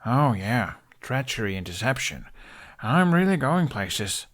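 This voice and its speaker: Male narrator doing skeptical teen boy, sarcastic, hesitant, self-deprecating, lively pitch swings, audiobook character. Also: unhurried. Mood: bitter sarcasm. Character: skeptical, sarcastic teenage Viking boy, wary and awkward.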